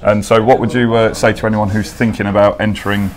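A man's voice: lowish voice, little pitch movement, quick pace. A man in his thirties from North West England, interviewing finalists at a competition with upbeat praise, on a lapel mic in an indoor hall. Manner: upbeat, praising, repeats "good stuff". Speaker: interviewer, electrician trainer. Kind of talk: encouraging event interviews.

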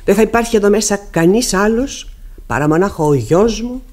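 Stern widowed mother played by an actress, tragic radio theatre, grave measured delivery, bitter but controlled. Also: mid-range voice, animated, darkish tone, natural voice. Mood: grim resolve. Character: stern, embittered widowed mother in Ibsen tragedy. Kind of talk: classic Greek radio theatre acting.

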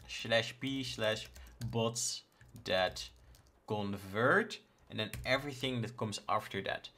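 A man in his thirties from the Netherlands, a native Dutch speaker with a Dutch accent, casually presenting a software tutorial. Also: medium pitch. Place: indoors in a home room, screen recording with webcam.

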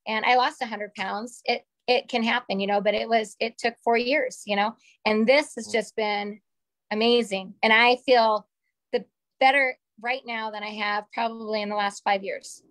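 A woman in her forties with a slightly raspy voice, sharing a candid personal story on a video call from a small room at home. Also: a little high, fairly even intonation, moderate pace, fairly bright tone, no echo. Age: 40s. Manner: candid, chatty, gestures with her hands. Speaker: call participant. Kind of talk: casual personal testimonial. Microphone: webcam on a video call.

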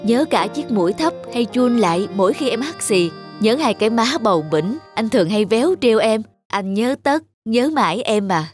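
A man voicing a devoted, gently regretful husband in a cartoon, soft and sincere. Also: high-pitched, lively intonation, moderate pace, few pauses, faint music behind. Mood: tender, regretful. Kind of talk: Vietnamese cartoon voice acting.

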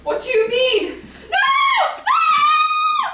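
Woman playing very high-pitched frightened servant girl. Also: wildly swooping pitch, extremely slow, shouting, full character voice. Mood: nervous, frightened. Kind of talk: amateur stage acting. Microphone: distant camera microphone. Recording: echoey noisy theatre, distant camera mic.

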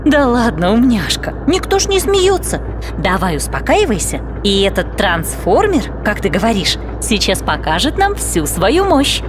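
A woman narrating a children's cartoon as host, explaining things in an animated storytelling way. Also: mid-range voice, moderate pace, over music.

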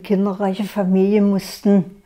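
An older woman born in Germany, an eyewitness in a documentary interview, remembering her past earnestly with some halting restarts, recorded in a living room. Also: medium pitch, completely flat delivery, mellow. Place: living room with a sofa, indoors.

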